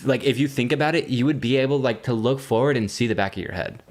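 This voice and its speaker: A man's voice: medium pitch, fast. A man with an American accent chatting casually as a comedic podcast co-host, emphatic, slangy and sweary.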